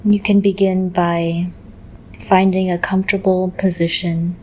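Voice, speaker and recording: A woman leading a guided meditation in a gentle, measured way, leaving long pauses between phrases. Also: fairly low pitch, flat delivery, a little hiss or hum.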